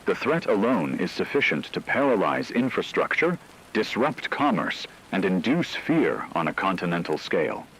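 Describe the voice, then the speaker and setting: A low-pitched, solemn radio war correspondent played by a man delivers crisis news in measured, dramatic phrases. Faint rain and rumble sit behind the voice, as in a fictional emergency broadcast. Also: big pitch swings, fairly bright tone, full character voice, low-quality recording. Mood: grave, urgent.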